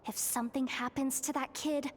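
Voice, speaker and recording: A woman's voice: high voice, steady tone, normal speed, a little hiss or hum, lightly acted. A woman playing a wry, streetwise young woman in a video game, casual and self-mocking.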